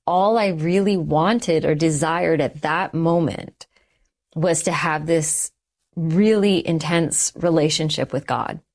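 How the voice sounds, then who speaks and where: Woman, middle-aged, low voice, interview guest, earnest and reflective, measured phrasing, personal testimony. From Canada, the United States and Sri Lanka.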